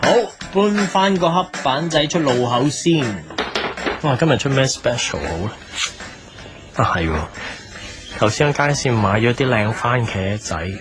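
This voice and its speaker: A man's voice: medium pitch, lively intonation, measured pace, lightly acted. A man playing an easygoing, polite young cafe owner with a Hong Kong Cantonese accent in an audio drama, with music behind him. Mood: upbeat, musing.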